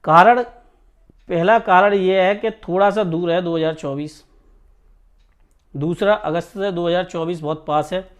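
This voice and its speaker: Male coaching teacher, notably high voice, emphatic informal explainer. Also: fairly even intonation, a little slow, long pauses, smooth. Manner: emphatic, repeatedly checks listeners understand. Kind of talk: informal exam news talk to students.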